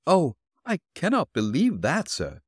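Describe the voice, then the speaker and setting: A man voicing an elderly, refined and gentle landlady in a raised, polite voice. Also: huge pitch swings, unhurried, husky, no room sound, studio quality, full character voice. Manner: polite, flustered, high put-on voice. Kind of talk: audiobook character reading.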